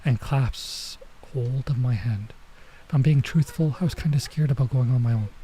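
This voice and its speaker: A man streaming a visual novel, reading it aloud with casual commentary and thinking out loud as he goes. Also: medium pitch, moderate pace, slight background noise. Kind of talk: let's play read-aloud with casual commentary.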